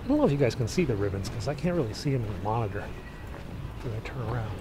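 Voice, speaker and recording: A man's voice: mid-range voice, lively intonation, rapid-fire. A man chatting casually to viewers in an offhand, musing way as he walks outdoors through a city. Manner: offhand, musing aloud to himself. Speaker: travel vlogger. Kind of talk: casual walking vlog chat to viewers. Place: outdoors walking on a city street. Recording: loud street noise, traffic outdoors. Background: urban street ambience with vehicles.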